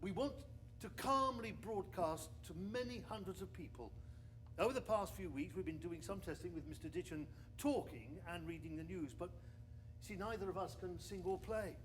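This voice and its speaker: A man's voice: high voice, moderate pace, slight background noise, lightly acted. A man playing an anxious, sceptical 1920s research engineer in a radio play, hesitant and stammering as he talks. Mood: hesitant, explaining. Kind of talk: period radio drama acting.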